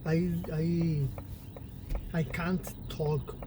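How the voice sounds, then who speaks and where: A man's voice: a little high, flat delivery. Man. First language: Spanish. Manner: halting broken English, searching for words. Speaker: rideshare passenger, pilot. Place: back seat of a car. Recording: car interior road noise. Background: road noise.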